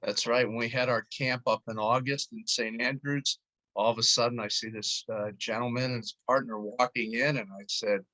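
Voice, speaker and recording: A man's voice: mid-range voice, steady tone, normal speed, sharp tone, rough recording. Man hosting a sports show. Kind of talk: scripted show hosting and interview.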